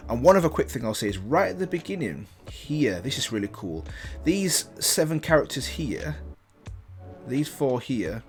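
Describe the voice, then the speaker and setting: A man with a fairly low voice hosts a casual reaction video. He comments with enthusiasm and often repeats or rewords what he has just said. Soft music sits behind him. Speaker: YouTube reaction host.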